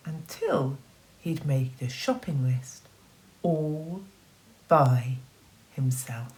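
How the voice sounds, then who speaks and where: Low-voiced woman reading expressively to children. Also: rough recording. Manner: expressive, encouraging, addressing children directly. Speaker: primary school teacher. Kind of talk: children's story read aloud with questions to listeners.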